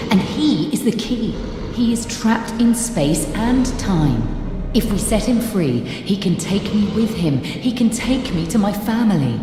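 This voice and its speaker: A woman's voice: mid-range voice, animated, unhurried, lightly acted. An actress playing a driven, intense female scholar in a fantasy video game, searching for her lost family. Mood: urgent, pleading. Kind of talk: dramatic video game dialogue. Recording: echoey hall reverb, music behind.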